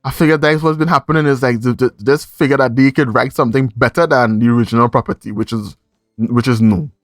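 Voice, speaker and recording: A man's voice: medium pitch, fast, dry recording, faint music behind. A Trinidadian man hosts a podcast in a mid-range voice. He chats casually through a movie review in slangy, looping phrases. The sound is dry, with hardly any room in it. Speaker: podcast host.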